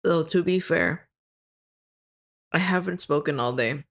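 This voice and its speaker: A low-voiced woman streamer is reading her own story aloud. She keeps stopping to reword phrases and fix small slips, and her voice is starting to go rough. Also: measured pace, a bit bright. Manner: stops often to correct her wording, laughs at slips. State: losing her voice.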